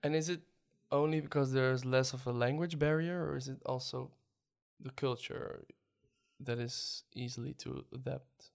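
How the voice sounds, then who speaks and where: A man's voice: medium pitch, fairly even intonation, moderate pace, gravelly. A man with a Dutch accent hosting an interview, asking questions with hesitant restarts and ums. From Netherlands. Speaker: podcast host. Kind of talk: interview conversation.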